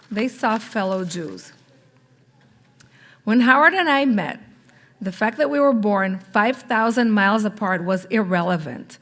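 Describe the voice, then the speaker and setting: A middle-aged woman giving a deliberate, proud prepared speech at a gala. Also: medium pitch, fairly bright tone. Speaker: parent and school supporter giving a gala speech.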